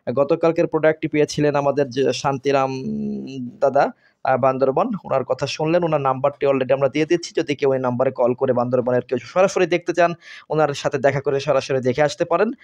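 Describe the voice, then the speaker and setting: A man with a Bangladeshi accent gives a product demonstration as a seller, speaking in halting, repetitive phrases. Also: mid-range voice, fairly even intonation, a little mellow, lo-fi sound. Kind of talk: product demonstration sales pitch.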